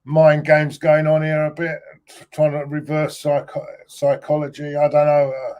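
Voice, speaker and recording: A man hosting a casual football chat show who reads out news items and puts questions to his guest, speaking haltingly with frequent fillers. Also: a little high, monotone, darkish tone. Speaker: host.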